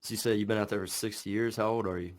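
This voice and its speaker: A man's voice: fairly low pitch, steady tone, fast. A man hosting a podcast, chatting in a laid-back, slangy way. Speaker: podcast host. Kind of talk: casual interview.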